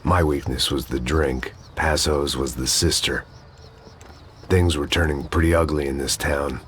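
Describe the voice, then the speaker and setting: An actor voicing a weary, hard-drinking middle-aged ex-cop in noir voice-over narration, gravelly and deadpan. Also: male voice, extremely low pitch, a little slow. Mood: brooding. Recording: game audio with loud background noise.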